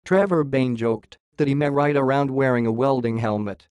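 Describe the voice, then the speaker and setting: A man reading a sports news article aloud in an even, steady way, quotes included. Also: medium pitch, moderate pace, clear, resonant tone, no echo. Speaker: news reader.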